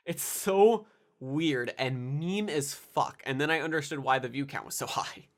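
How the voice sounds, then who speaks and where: A man's voice: a little high, a little slow. A congested young man with a nasal voice, rambling casually through anime commentary with lots of fillers and restarts. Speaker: anime video reviewer.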